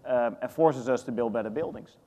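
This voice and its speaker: A man in his thirties with a Dutch accent, speaking as a panelist in an animated way, often restarting his sentences. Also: mid-range voice, brisk. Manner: animated, thinking aloud with restarts. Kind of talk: panel discussion. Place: large conference hall. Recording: reverberant hall.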